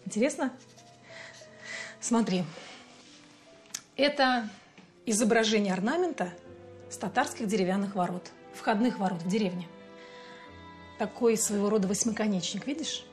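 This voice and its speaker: An actress playing a patient, playful mother in her forties with a Russian accent, gently explaining things to her child in a TV sitcom. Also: medium pitch, moderate pace, pauses often, faint music behind, close to an everyday voice. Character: bilingual mother, patient, fond of teaching. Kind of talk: television sitcom acting.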